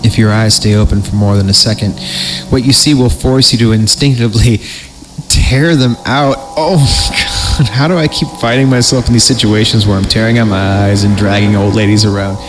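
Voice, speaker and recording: Low-voiced man reading horror, noisy recording. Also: steady tone, moderate pace. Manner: theatrical narration broken by crude, panicky comic asides. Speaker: podcast host and reader. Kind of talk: dramatic horror story reading with joking asides. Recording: clearly noisy amateur recording.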